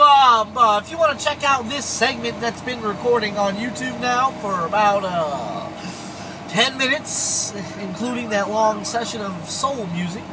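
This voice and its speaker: A man's voice: very high voice, background noise. A man hosting a trucker show, chatting casually on CB radio from inside a vehicle.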